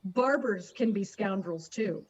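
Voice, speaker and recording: A woman's voice: medium pitch, a little slow, breathy edge, dull audio. A woman playing a stubborn, superstitious, scornful wife and mother in a radio play, emphatic and accusing. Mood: bitter. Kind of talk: dramatic radio play acting.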